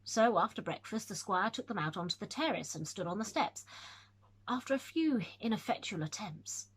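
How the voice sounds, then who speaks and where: A woman narrating a classic ghost story in a measured, atmospheric reading. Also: medium pitch, lively intonation, breathy edge, low-quality recording.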